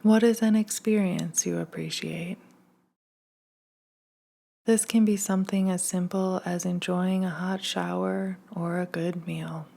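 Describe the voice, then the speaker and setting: Female meditation guide: lowish pitch, gravelly fry at phrase ends, gentle and hushed scripted read with unhurried gaps. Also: fairly even intonation. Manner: gentle, soothing, hushed delivery with long pauses.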